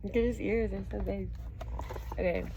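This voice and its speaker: Female storybook reader, medium-pitched, expressive narration mixed with casual chat for a child, slight noise behind. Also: normal speed. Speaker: read-aloud narrator.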